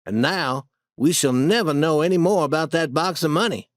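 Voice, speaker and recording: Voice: medium pitch, moderate pace, dry recording, studio quality, voice pushed up, natural voice. A reader doing a timid, nervous boy. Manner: hesitant, nervous.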